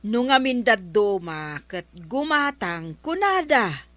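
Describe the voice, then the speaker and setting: A woman's voice: mid-range voice, sing-song, takes it slowly, fairly bright tone, no room sound. A woman playing a grumbling village neighbour in a comedy drama, speaking with an Ilocano accent.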